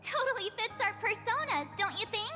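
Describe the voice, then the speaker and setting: A very high, perky young girl adventurer from an anime-style game. She is eager and excitable, exclaiming brightly over clearly audible music. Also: moderate pace, long reverb tail. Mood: bubbly, excited. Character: cheerful, excitable young girl adventurer, comic-book fan. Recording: music behind the voice.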